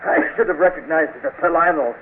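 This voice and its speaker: Casting: male actor for inquisitive British doctor in 1930s radio adventure, lightish pitch, exclamatory, hissy narrow sound. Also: fast talker, natural voice. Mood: shocked, realizing. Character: earnest, inquisitive British doctor, loyal sidekick. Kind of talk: 1930s radio drama acting. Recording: tinny, noisy 1930s radio recording.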